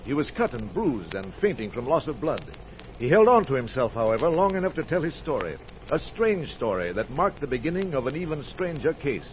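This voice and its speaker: A man narrating an old-time radio mystery as announcer, in a dramatic, measured scripted delivery. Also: mid-range voice, sing-song. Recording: muffled, noisy old radio recording.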